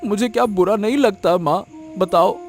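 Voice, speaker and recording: A man's voice: high voice, moderate pace, soft background music. A man playing a naive, honest village vegetable seller in a cartoon, earnest and plaintive, often repeating himself. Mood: hurt, pleading. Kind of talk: Hindi cartoon moral story acting.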